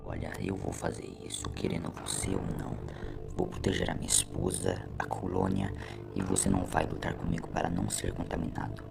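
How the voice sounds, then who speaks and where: A man voicing a wounded, self-sacrificing husband and father in an audio drama, grave, strained and halting. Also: sits low, animated, creaky, music in the background, heavily acted. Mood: resigned, resolute.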